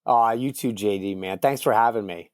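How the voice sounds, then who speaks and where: A man's voice: on the low side, normal speed, a little hiss or hum. A man chatting casually as a guest in an interview. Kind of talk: casual chat.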